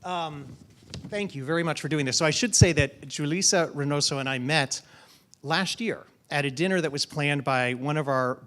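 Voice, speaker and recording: A man, a college dean, introducing a guest and moderating a public conversation at an event, speaking in a measured way with frequent ums. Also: a little high, fairly bright tone.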